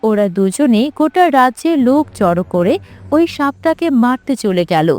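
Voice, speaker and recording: A woman's voice: a little high, very animated, normal speed, darkish tone, soft background music. A woman narrator reading a scripted fairy tale for a cartoon.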